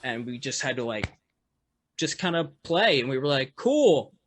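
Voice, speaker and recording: A man, a musician guest on a podcast, with a loud voice, telling a story in casual chat full of fillers and restarts. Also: mid-range voice, very animated, moderate pace, a little hiss or hum. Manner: rambling, lots of fillers and restarts, laughs often.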